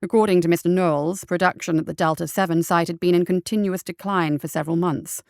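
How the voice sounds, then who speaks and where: A woman reading as a haunted private investigator writing home, formal, sombre and measured, in an audio drama. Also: on the low side, fairly even intonation, vocal fry, a little mellow, no room sound, clean studio sound. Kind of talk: audio drama letter narration.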